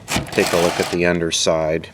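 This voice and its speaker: A man narrating a casual, unscripted hands-on repair demonstration in a matter-of-fact, halting way, as host of his own video. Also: sits low, flat delivery, fairly bright tone. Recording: clearly audible background noise.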